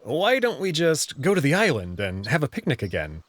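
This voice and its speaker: A man's voice: fairly high pitch, huge pitch swings, moderate pace, a bit bright, no room sound. A man reading first-person visual novel narration and dialogue as the story's protagonist.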